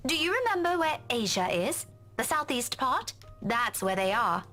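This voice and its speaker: An actress playing a kind, apologetic young schoolteacher in a visual novel game. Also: female voice, on the high side, very animated, moderate pace, sharp tone, faint music behind. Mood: apologetic, gentle.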